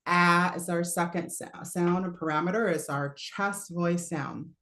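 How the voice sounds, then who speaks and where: A relaxed woman with a low voice coaches singers in a live online class. She chats easily, laughs often and breaks off to demonstrate sounds. The recording has a roomy echo around her. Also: measured pace. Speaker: voice teacher. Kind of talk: live online singing lesson.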